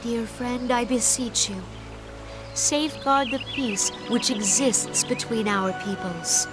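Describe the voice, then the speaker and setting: A gentle, dignified stateswoman of a fantasy forest realm in a video game. Her voice sits a little high, and she speaks with measured, courtly formality and earnest care. Loud music plays behind her. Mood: earnest, entreating. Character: gentle, dignified female leader of a forest nation. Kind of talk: scripted video game voice acting.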